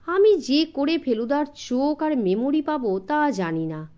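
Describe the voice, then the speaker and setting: A woman's voice: high voice, expressive, measured pace, warm tone. A woman narrating a story expressively for children as an audiobook reader.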